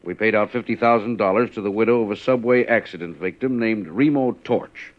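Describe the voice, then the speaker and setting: A man's voice: on the low side, fairly quick, lightly acted. A man playing a cautious, anxious insurance claims agent in a 1950s radio drama, speaking in a careful, officious business manner. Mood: cautious, businesslike. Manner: earnest, careful, hesitant businesslike delivery. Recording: muffled vintage 1950s radio recording.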